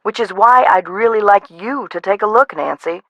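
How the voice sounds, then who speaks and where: A woman's voice: medium pitch, normal speed, a bit bright, no echo. A woman playing a blunt, businesslike amusement park boss in a video game, with a matter-of-fact manner.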